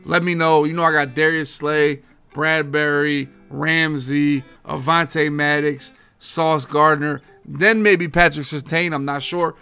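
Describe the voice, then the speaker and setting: Slightly hoarse thirties streamer dude, gravelly and bright, faint music under him. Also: male voice, on the high side, slow. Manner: energetic, slangy, addresses viewers as boys and guys. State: losing his voice, a bit hoarse. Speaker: gaming YouTuber and streamer. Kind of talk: casual gaming talk to viewers. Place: home game room with framed pictures.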